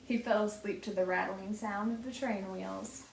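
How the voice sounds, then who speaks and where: A woman reading a children's picture book aloud as a teacher, with a deliberate, dramatic storytelling delivery. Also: medium pitch, fairly even intonation, creaky, slight background noise. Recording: echoey room.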